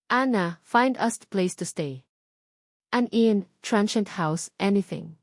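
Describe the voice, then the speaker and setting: A woman's voice: mid-range voice, takes it slowly, no room sound. Woman reading as a determined rich businessman in a love story, insistent, dry sound. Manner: hesitant yet insistent. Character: determined wealthy businessman searching for a lost woman.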